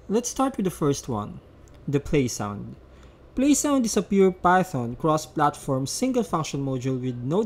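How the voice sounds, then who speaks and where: A man's voice: fairly high pitch, slight background noise. A man presenting a step-by-step instructional screencast as a tutorial narrator.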